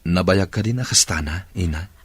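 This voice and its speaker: A man's voice: low voice, normal speed, husky, dry recording. A man with an Ilocano accent playing an earnest, devoted young suitor and dutiful son in a Filipino radio drama. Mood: worried.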